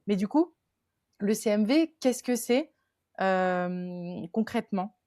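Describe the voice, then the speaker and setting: A woman hosts a podcast in casual chat, pausing often on fillers and restarting her sentences. Her voice sits at an ordinary middle pitch. The recording is dry and close, with no room sound. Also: animated, a little mellow, no room sound. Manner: hesitant, many fillers and restarts. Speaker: podcast host.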